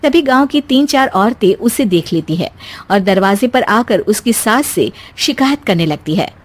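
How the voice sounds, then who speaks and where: A woman's voice: medium pitch, expressive, moderate pace. A woman storyteller reading scripted narration for a moral story.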